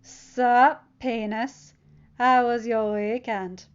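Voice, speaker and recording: A sneering teenage bully from an audiobook story, voiced by a woman. The boy drawls his taunts snidely and forcefully. The sound is slightly muffled. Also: fairly high pitch, steady tone, very slow, smooth.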